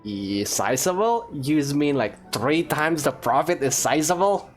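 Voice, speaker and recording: Female let's play host: low-pitched, very varied intonation, casual and informal reactions. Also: animated, a little slow, over music. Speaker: gaming video host.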